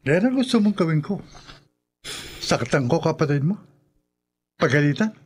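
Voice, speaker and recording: An actor playing a calm, unbending husband who refuses to help his wife, in a radio drama. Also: a little high, moderate pace, husky. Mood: cold. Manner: calm and dismissive.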